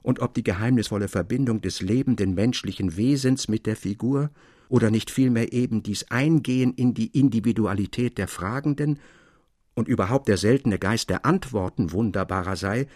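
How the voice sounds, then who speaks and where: A man reading literary prose as a measured, articulate and expressive storyteller. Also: medium pitch, no echo, clean studio sound. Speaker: audiobook narrator. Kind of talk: literary scripted narration. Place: dry recording studio.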